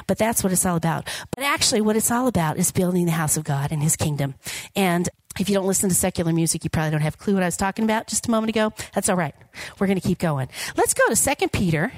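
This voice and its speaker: A woman with a slightly raspy, hoarse voice gives an upbeat, jokey sermon from a church platform and keeps asking the congregation to respond. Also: mid-range voice, no echo. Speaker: guest preacher. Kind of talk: informal sermon with prayer.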